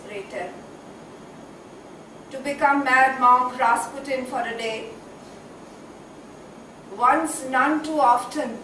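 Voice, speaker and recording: A woman's voice: high-pitched, fairly bright tone. A woman playing an eccentric, passionate, half-mad character in a poetic, declamatory stage monologue. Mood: musing, wry. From India. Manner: declamatory, poetic, dramatic drawn-out delivery. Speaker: stage actor. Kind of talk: theatrical one-act play monologue. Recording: echoey room, distant mic, faint noise.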